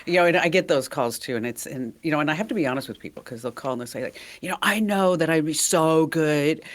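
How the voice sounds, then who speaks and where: A woman with a deep voice hosting a podcast interview, chatting casually, laughing often and talking with plenty of fillers. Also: animated, quick pace. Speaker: podcast host.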